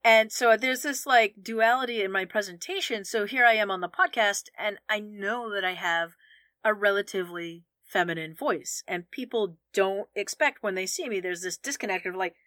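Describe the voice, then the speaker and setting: A woman co-hosting a podcast, chatting casually and telling stories in a candid, animated way. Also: mid-range voice, normal speed, no echo. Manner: candid, animated storytelling with frequent restarts. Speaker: podcast co-host. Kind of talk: casual conversational chat.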